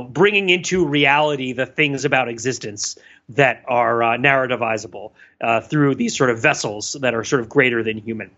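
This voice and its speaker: A man's voice: mid-range voice, fairly bright tone, lo-fi sound. A man co-hosting a podcast, talking through ideas in a wordy, digressive way with frequent restarts and laughs at his own jokes. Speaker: podcast co-host. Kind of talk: casual analytical podcast chat.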